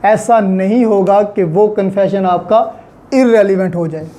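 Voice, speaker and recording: A man's voice: sits high, steady tone, unhurried, warm tone. A man with a Pakistani accent giving an explanatory law lecture, didactic, restating his points and mixing in English terms.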